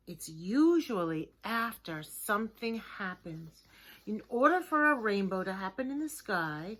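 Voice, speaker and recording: Woman, children's teacher, bright clear-cut tone, unhurried pace, simple encouraging wording, deliberate emphasis. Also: medium pitch. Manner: gentle, simple, encouraging address to young children. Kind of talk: children's lesson to camera.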